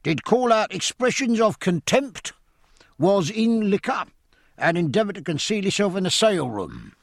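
A man voicing a ship's master-at-arms droning out charges, hoarse and officious. His voice sits raised and pinched. The sound is close and dry. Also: sits high, sing-song, a little slow, dry recording, pitched above the performer's own voice, full character voice. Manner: hoarse, formal, reciting charges.

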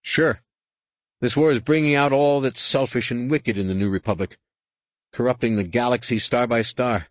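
A man voicing an earnest, idealistic young Jedi who gently moralizes and reflects, in a science fiction audiobook. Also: mid-range voice, fairly even intonation, moderate pace, no room sound, voice pushed up, natural voice. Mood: troubled, earnest.

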